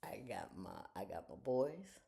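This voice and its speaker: A woman in her sixties with a gravelly edge to her voice, her pitch rising and falling freely as she talks. She speaks in a frank, folksy way and often slips into soft, half-whispered asides. She is at home in the early morning, leaning toward the camera. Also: sing-song, measured pace. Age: 60s. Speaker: retired judge giving life advice. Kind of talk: casual reflective talk to viewers. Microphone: camera she leans toward.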